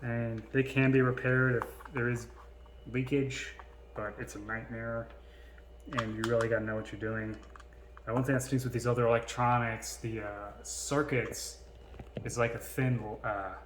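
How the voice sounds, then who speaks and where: A man's voice: medium pitch, fairly even intonation, moderate pace, roomy sound. A man casually showing vintage electronics to viewers as a video host, talking informally and unscripted. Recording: faint noise, clicks of handled gear.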